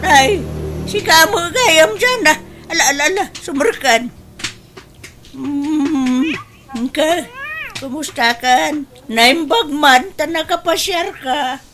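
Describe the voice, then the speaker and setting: A woman's voice: sits high, slow, noisy background. A woman playing a nagging, disapproving mother in a radio drama, with an Ilocano accent and grumbling, sighing interjections. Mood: welcoming.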